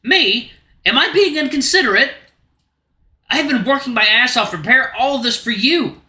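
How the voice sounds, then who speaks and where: A man's voice: high voice, lively intonation, a little fast, sharp tone, roomy sound, heavily acted. A man voicing a bitter, exhausted young human man who talks in a raised, resentful way.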